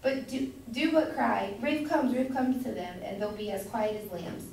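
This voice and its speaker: Woman as naive chatty wife, high-pitched, insistent, old stage comedy, distant recording with heavy echo. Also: moderate pace, gravelly, a little mellow. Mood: chatty, gushing. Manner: gushing, insistent, repetitive. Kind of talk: amateur staged reading of Jacobean comedy. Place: indoor room at a college, far from mic. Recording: distant echoey room, faint noise.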